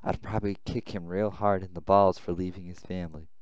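A man reading a visual novel aloud as a blunt, defensive young woman in a militia, a little halting. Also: sits low, fairly even intonation, darkish tone, dry recording, dull audio, natural voice. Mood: guarded.

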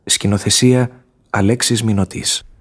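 A man giving a formal, scripted radio introduction in measured phrases as announcer. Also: fairly low pitch, fairly even intonation, husky.

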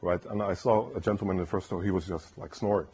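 A man giving a casual technical talk at a conference, rambling and tagging "right?" onto his points in a soft, soothing voice. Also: low-pitched, steady tone, fast talker, slightly rough. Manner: rambling, keeps asking "right?". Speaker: conference speaker. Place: lecture room.

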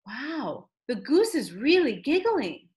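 A woman's voice: sits high, sing-song, extremely slow, darkish tone. A woman reading a picture book aloud in a theatrical storytelling style, addressing a child listener with dramatic emphasis.

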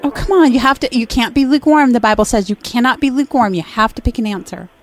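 A woman's voice: on the high side, quick pace. A woman co-host making a prank call in a sugary, teasing manner, calling the other person honey and sweetheart.

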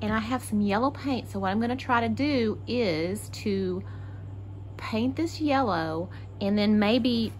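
A woman's voice: fairly high pitch, unhurried, a little mellow, a little hiss or hum. A woman from Texas hosting a casual crafting tutorial, chatty and thinking aloud as she works. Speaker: craft channel host.

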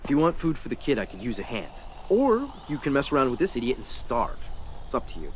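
A man's voice: medium pitch, wildly swooping pitch, a little fast, a little hiss or hum. A man playing a serious, practical teenage boy in a survival game, speaking tersely and reproachfully. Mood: irritated. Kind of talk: video game voice acting.

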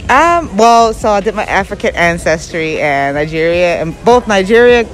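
A woman's voice: mid-range voice, animated, normal speed, poor audio. A woman from Barbados, an entrepreneur, answering questions in an upbeat, chatty way, full of "you know.". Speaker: interviewee, entrepreneur. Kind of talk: casual chat. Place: clothing store indoors. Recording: music playing behind.

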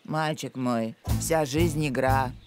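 A woman's voice: extremely low pitch, slow pace, over music. An actress playing a bossy, theatrical middle-aged mother in a cartoon, with a haughty, commanding way of talking. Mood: grand, haughty. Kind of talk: animated cartoon acting.

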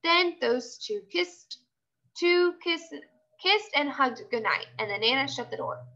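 A woman reading a children's story aloud, expressively and in a childlike, animated manner. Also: high-pitched, measured pace, muffled recording.

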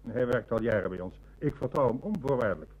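Man playing a husky, formal bank manager. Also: mid-range voice, lively intonation, fast, darkish tone, lightly acted, low-quality recording. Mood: formal, firm. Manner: formal, firm. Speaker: actor in a radio play. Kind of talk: Dutch radio play acting.

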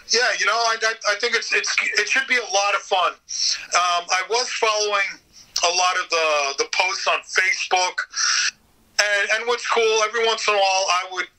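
A man chatting casually as a podcast guest, animated and talkative, with some swearing. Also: high voice, normal speed, poor audio. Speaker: guest, voice actor.

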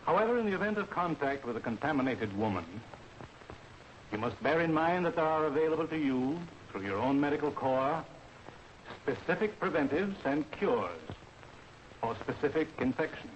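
A man's voice: a little high, expressive. A man with an American accent lecturing in a formal, authoritative way as an army medical officer in a 1940s training film. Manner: formal, authoritative, deliberate instruction. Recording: dull, noisy 1940s film soundtrack.

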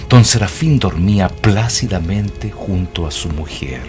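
A man's voice: low-pitched, expressive, a little slow, over music. A Chilean man narrating a wry radio play in a dramatic storytelling style.

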